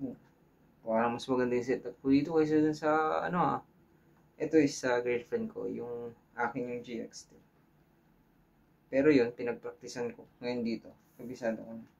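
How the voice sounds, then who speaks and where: Gravelly Filipino man giving a casual tutorial. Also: mid-range voice, a little mellow, dull audio. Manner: informal, thinking aloud, checks in with viewers. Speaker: tutorial host. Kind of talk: casual hands-on tutorial talk.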